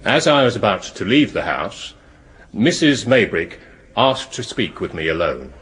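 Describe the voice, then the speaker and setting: An actor playing a measured, courteous physician in a period radio drama, professional in manner. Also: male voice, lowish voice, very animated, a bit bright, slightly rough, exaggerated voice. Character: measured, courteous male physician, mildly amused.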